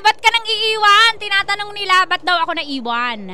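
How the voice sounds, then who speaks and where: A Filipino woman radio DJ trades teasing banter in a very high, swooping voice. Heavy noise surrounds her as she chats casually with a co-host. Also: big pitch swings, moderate pace. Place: live radio broadcast in Manila.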